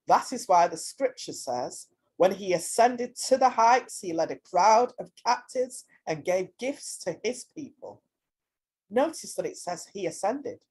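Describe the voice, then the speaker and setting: A woman reading scripture aloud in a deliberate, declamatory way. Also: lowish voice, warm tone, breathy edge, rough recording. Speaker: scripture reader.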